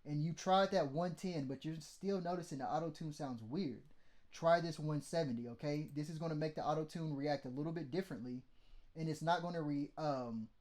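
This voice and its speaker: Mid-range male tutorial host, slightly high, laid-back and profane. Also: brisk, a little mellow. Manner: loose and blunt, swears freely, lots of "like" and "okay?". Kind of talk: casual how-to teaching.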